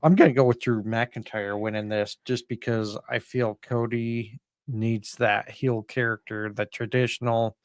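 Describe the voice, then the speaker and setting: A man's voice: medium pitch, steady tone, measured pace, no echo, studio quality. A man co-hosting a podcast in casual sports talk, rambling a little as he thinks aloud. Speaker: podcast co-host. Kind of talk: casual sports prediction chat.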